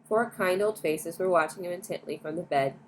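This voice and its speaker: A woman's voice: on the low side, brisk, rough recording. A woman storyteller reading a children's bedtime story aloud. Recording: clear background noise.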